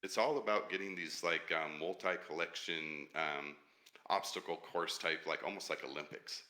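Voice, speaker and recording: A man's voice: fairly even intonation, bright, forceful tone. A man, a studio co-founder, talking up his team passionately in casual podcast chat. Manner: passionate, fast-talking pitch.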